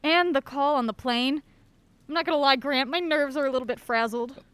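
A woman's voice: sits high, brisk, a bit bright, no room sound. An actress playing a wry, guarded woman on an anxious road trip, dry and sardonic, in an audio drama. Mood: guarded, nervy.

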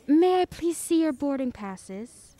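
An actress playing a courteous, sympathetic airline desk agent with polite, formal service patter in a radio drama. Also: female voice, sits high, sing-song, slow, dark tone, slight background noise, full character voice. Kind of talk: comic radio drama acting.

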